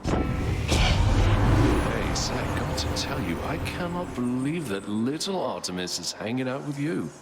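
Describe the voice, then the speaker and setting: A man's voice: mid-range voice, very animated, a little slow, pauses often, over loud music. A man voicing a laid-back, chummy party god in a video game, casual and chatty. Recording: loud crowd behind.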